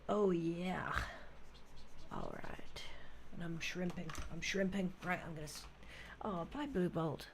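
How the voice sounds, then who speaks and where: Soft, gravelly-voiced woman murmuring to chat. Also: lowish voice, slow pace, a little hiss or hum. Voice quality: relaxing voice. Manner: soft, murmuring, often half-whispered asides. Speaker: streamer drawing fan art. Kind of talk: casual live-stream chat while drawing. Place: at a desk at home. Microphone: desk microphone.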